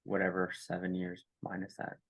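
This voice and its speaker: A man's voice: low-pitched, monotone, moderate pace, gravelly, a bit bright, no room sound. A man in his late twenties from Kansas, co-hosting a podcast and giving brief, easygoing answers. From Kansas, USA. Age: 20s.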